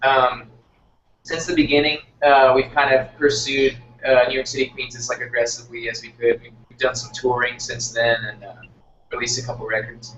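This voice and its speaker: A man's voice: mid-range voice, flat delivery, moderate pace, a bit bright. Young guy from texas chatting in a band interview, lots of ums and likes, echoey and far off, bit of noise. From Texas, United States. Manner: hesitant, filler-heavy, searching phrasing. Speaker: band member being interviewed. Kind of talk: casual chat. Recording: roomy, distant, dull, noisy group recording.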